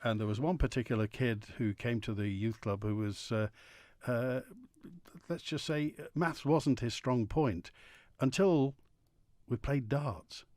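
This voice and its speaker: A male radio host with a mid-pitched voice, chatting through a live phone-in and often pausing on uh. The sound is dry and close to the microphone. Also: darkish tone, dry recording. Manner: chatty, with frequent uhs.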